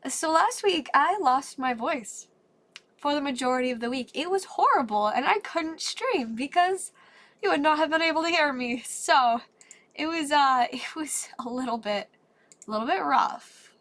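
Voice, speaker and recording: A woman's voice: sits high, animated, normal speed, a bit bright. A woman chatting on a live stream while opening trading cards, a little congested and still getting her voice back, upbeat and rambling. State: congested, voice not fully recovered. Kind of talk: casual live-stream chat.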